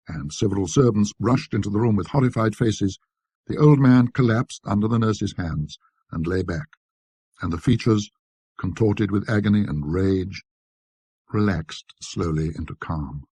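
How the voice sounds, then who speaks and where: Low, dark-voiced male storyteller, deliberate and precise. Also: husky, no echo. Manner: precise, scholarly, measured, dramatic pauses. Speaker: narrator. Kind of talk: scripted ghost story narration, classic British literary reading.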